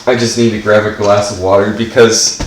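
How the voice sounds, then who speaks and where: A man's voice: fairly low pitch, little pitch movement, a little fast, reverberant, background noise. Stuffed-up man rambling over gameplay. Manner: rambling, fills speech with "like". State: stuffed up from allergies. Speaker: game streamer. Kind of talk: casual gameplay commentary.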